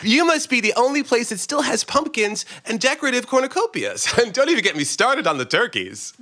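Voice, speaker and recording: Man playing anxious male shopper, incredulous, flustered, high-pitched, wildly animated, comic audiobook. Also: a little fast, full character voice. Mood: amused disbelief. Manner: flustered, stammering, excitable. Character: anxious, incredulous male holiday-loving shopper, easily alarmed. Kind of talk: comic audiobook character acting.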